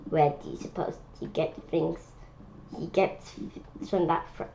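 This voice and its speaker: A woman reading a children's picture book aloud in a halting way, breaking off for spontaneous asides and questions. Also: deep voice, steady tone, gravelly, dark tone. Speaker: read-aloud presenter. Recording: dull lo-fi sound with faint noise.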